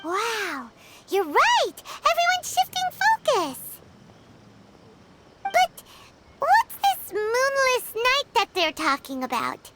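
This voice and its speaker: Casting: excitable childlike fairy sidekick for a game, piercingly high, very animated, exclamatory. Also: female voice, takes it slowly, long pauses, heavy background noise, full character voice. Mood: amazed. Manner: squeaky, exclamatory, speaks of herself in third person. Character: tiny excitable childlike fairy companion girl. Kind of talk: video game voice acting. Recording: music playing behind the voice.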